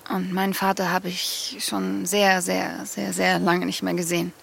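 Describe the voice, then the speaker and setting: A woman's voice: on the low side, monotone, moderate pace, vocal fry, fairly bright tone, slight background noise. A woman acting a cynical young woman in an audio drama, speaking hesitantly and often repeating her words. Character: young woman, cynical, searching, self-doubting.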